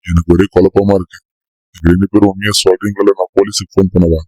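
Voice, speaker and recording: A man voicing a stern, exasperated adult in a comedy cartoon, gruff and scolding. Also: low-pitched, flat delivery, fast, dark tone, no echo, low-quality recording.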